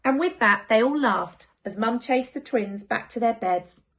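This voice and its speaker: Animated woman reading a children's story. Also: a little high, unhurried. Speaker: primary school teacher reading a story. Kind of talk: expressive picture-book narration for children.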